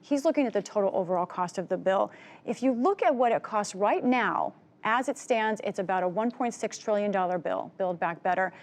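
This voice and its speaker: A middle-aged woman news correspondent, giving a brisk explanatory breakdown of policy and correcting herself on the fly. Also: medium pitch, animated.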